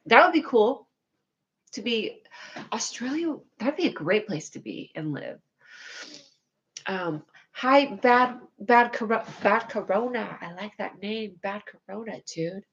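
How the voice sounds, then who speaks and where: A young woman with a whiny, needy voice, chatting casually to viewers on her livestream, rambling and scatterbrained, putting herself down and now and then breaking into excited squeals. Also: on the high side, muffled recording.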